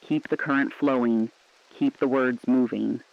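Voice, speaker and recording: A man's voice: mid-range voice, steady tone, a little slow, vocal fry, no room sound. A man playing a defiant, sombre resistance radio correspondent, speaking earnestly and poetically in a scripted drama. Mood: quietly defiant. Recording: muffled, lo-fi radio-drama sound.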